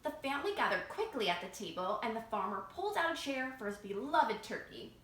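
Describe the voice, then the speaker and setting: A woman reading a picture book aloud to children as a library storytime reader, expressive and engaging them directly. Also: on the high side, brisk. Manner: expressive, asking young listeners questions. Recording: roomy echo, faint background noise.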